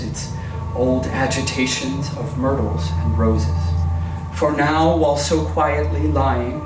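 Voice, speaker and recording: A man giving a dramatic poetry recitation in measured, theatrical phrases. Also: a little high. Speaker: poetry performer. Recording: echoey, distant voice under clear music.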